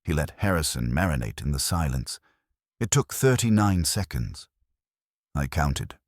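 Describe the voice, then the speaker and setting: A man's voice: extremely low pitch, very animated, measured pace, dry recording, studio quality. A man reading a scripted first-person detective story as an audiobook narrator.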